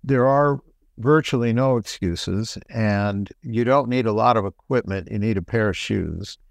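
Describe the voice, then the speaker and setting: A middle-aged man who is a doctor talks through health questions in a relaxed, explanatory way. His voice sits on the low side. It is recorded close and dry. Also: unhurried, vocal fry, dry recording. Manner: explanatory, relaxed, laughs lightly. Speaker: doctor and show host. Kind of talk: casual health talk.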